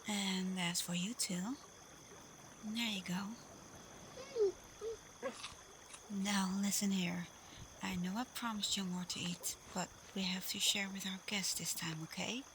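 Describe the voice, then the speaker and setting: A woman playing a caring, protective, frank female werewolf pack leader in a soft, breathy, reassuring ASMR roleplay. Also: medium pitch, steady tone, measured pace, a little hiss or hum. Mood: caring, coaxing.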